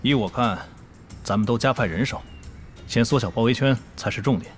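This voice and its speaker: An actor playing a calm, diplomatic male guild leader in a Chinese audio drama, composed and conciliatory. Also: mid-range voice, brisk, soft background music, close to an everyday voice. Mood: calm, mediating.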